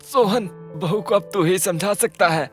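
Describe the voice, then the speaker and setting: An actor voicing a troubled older father in a Hindi animated story, with a husky voice, scolding and pleading. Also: medium pitch, a little slow, soft background music, close to an everyday voice.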